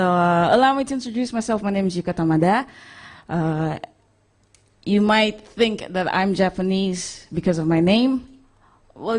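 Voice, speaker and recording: A young woman from Indonesia, a singer chatting with her audience on stage in a chatty, self-deprecating way. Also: lowish voice.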